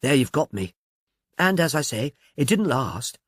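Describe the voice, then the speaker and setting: A man's voice: medium pitch, sing-song, unhurried, fairly bright tone, husky, no echo, studio quality, exaggerated voice. A man voicing an agreeable, candid young film actress with a breezy, gossipy manner in an audiobook.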